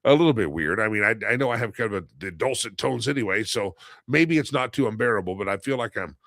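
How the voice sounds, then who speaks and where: A man's voice: lowish voice, expressive, quick pace, fairly bright tone, no echo, clean studio sound. A man hosting a live talk show, rambling with lots of fillers and a slightly nasal head cold.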